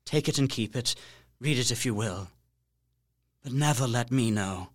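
An actor playing an ailing, solemn Victorian husband-to-be in an audio drama, speaking gravely and haltingly, with intimate earnestness. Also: male voice, mid-range voice, dry recording, studio quality, close to an everyday voice. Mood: grave pleading.

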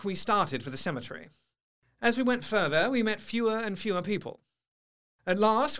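Proper troubled victorian doctor telling a horror tale, lighter pitched, lively swings, formal dramatic narration. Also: male voice. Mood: sober recounting. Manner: formal, expressive. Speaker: narrator in character. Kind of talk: dramatic first-person audio drama narration.

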